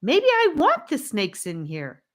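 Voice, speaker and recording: A woman voicing a defiant boy captive who shouts and demands answers. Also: very high-pitched, big pitch swings, measured pace, voice pushed up, heavily acted.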